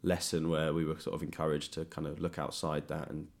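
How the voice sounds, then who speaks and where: A young man with a British accent hosting a casual interview, speaking in a tentative, halting way. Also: extremely low pitch, flat delivery, gravelly. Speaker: podcast host. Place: in a home.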